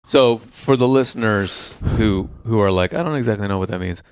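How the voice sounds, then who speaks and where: A man's voice: lowish voice, moderate pace, clear, resonant tone. A man hosting a casual podcast chat, teasing his guest in a friendly way and setting up jokes. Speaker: podcast host.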